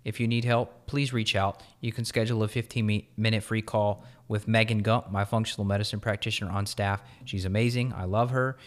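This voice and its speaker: A man's voice: fairly low pitch, steady tone, fairly quick, few pauses. A man hosting a health podcast, chatting casually and asking questions with easygoing fillers. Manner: casual, with fillers like "you know". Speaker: podcast host. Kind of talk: conversational podcast interview.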